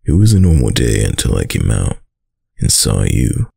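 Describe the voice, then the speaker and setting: A man playing a dominant, doting young mafia heir in an intimate boyfriend roleplay, with a very deep, gravelly voice and a slow, tough-guy delivery. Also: very animated, dark tone, dry recording, clean studio sound, full character voice. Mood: fond reminiscing. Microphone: close, dry microphone.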